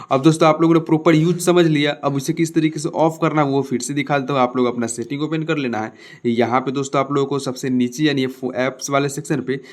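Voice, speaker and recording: A man giving a casual step-by-step phone tutorial, addressing viewers as friends and often checking they follow. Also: mid-range voice. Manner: repetitive tag questions, addresses viewers as friends. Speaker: tech tutorial host.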